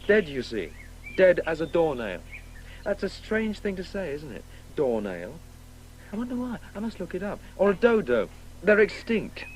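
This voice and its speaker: A man's voice: sits high, very animated, normal speed. A male actor playing a precocious, morbidly curious boy in a 1980s radio drama, musing pedantically and matter-of-factly. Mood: musing, detached. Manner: matter-of-fact, pedantic musing about words. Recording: faintly noisy old radio broadcast.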